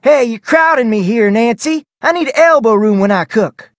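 An actor plays a folksy Western ranch cook for a mystery game. The delivery swings up and down in pitch, full of homespun phrasing, on a dry studio recording. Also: animated, normal speed, no room sound, lightly acted. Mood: brisk, bossy. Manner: folksy, colloquial Western talk. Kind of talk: video game character voice lines.

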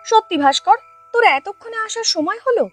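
A woman's voice: very high voice, animated, a little fast, faint music behind. A woman playing a young, teasing, bossy friend with an informal manner in a cartoon story.

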